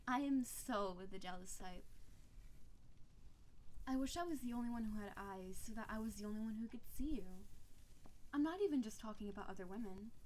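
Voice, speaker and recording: Woman acting a soft, possessive snow-spirit wife. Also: fairly high pitch, slight background noise, lightly acted, rough recording. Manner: tender, clingy, intense. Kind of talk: intimate scripted audio roleplay monologue.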